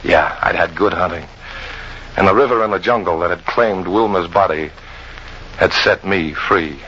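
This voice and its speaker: Hard-boiled American impostor, low voice, forceful, vintage radio drama, noisy recording. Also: male voice, moderate pace, lo-fi sound. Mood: wry, relieved. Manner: hard-boiled, terse storytelling. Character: wary male impostor adventurer, hard-boiled first-person narrator. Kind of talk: old-time American radio drama acting.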